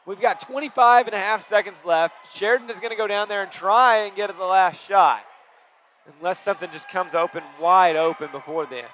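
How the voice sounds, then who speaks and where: A man calling high school basketball play by play, quick and excitable on big plays. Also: a little hiss or hum. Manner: rapid, excitable calls rising on big plays. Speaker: play-by-play announcer.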